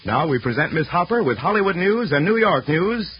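A male radio announcer from the 1940s delivers sponsor copy in a polished, emphatic sales style. His fairly high voice moves through animated rises and falls. The old broadcast sounds muffled, with faint noise. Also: a little fast, husky.

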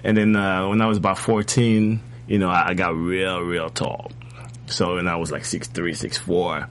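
A man in his thirties from Ivory Coast, a guest telling his life story in a relaxed, laughing way. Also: deep voice, moderate pace. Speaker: guest, fashion designer. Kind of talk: casual interview chat.

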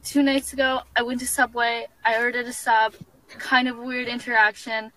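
Calm high-voiced woman telling a story. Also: normal speed, low-quality recording. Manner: calm, matter-of-fact, hesitant fillers. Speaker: social media poster. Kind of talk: telling a personal story to camera.

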